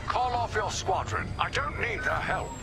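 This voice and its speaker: A man's voice: high voice, expressive, moderate pace. A man playing a proud, reckless and stubborn starship captain in a space game, speaking defiantly. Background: loud music.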